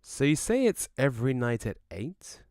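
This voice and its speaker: A man's voice: medium pitch, sing-song, moderate pace, a little mellow, no room sound, clean studio sound. A man playing a laid-back, cheeky ghost in an audio drama, speaking casually and bluntly. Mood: matter-of-fact.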